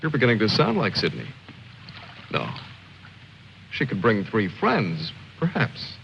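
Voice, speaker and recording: An actor playing a level-headed military officer, measured, commanding and wry, in an old film style. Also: male voice, lowish voice, huge pitch swings, creaky, full character voice. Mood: wry, concerned. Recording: muffled old film audio, faint noise.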